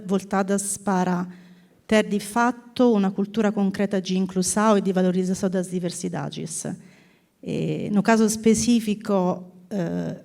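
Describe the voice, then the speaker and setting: A woman in her fifties from Italy with a strong Italian accent, speaking on a panel as a corporate people director, drifting between languages and often clearing her throat. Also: medium pitch, dark tone. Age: 50s. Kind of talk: panel talk.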